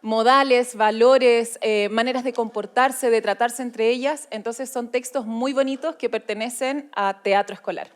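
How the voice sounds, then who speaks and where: A woman whose voice sits a bit high. She speaks in an explanatory, conversational way, as a director and actress on a panel. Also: fairly even intonation, normal speed, few pauses.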